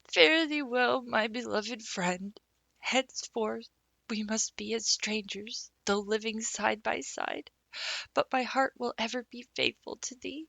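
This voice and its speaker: A woman's voice: fairly high pitch, slow, creaky, fairly bright tone, dry recording, pitched above the performer's own voice, heavily acted. A woman voicing a dramatic, romantic young orphan girl who speaks in flowery, earnest phrases. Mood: melodramatic.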